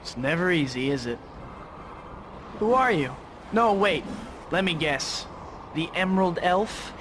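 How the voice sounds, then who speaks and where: An actor playing a wisecracking young superhero with an American accent, cocky but kindly and breezily sarcastic, in a video game. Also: male voice, high-pitched, expressive, a little slow. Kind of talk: video game cutscene acting. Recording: loud background noise, game effects.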